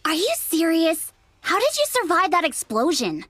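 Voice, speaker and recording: A woman playing a brash, cheeky young female android soldier in a video game, sceptical but easygoing, with a sassy, expressive delivery. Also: very high voice, normal speed, no room sound, soft background music, exaggerated voice. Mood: suspicious. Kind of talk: English video game voice acting.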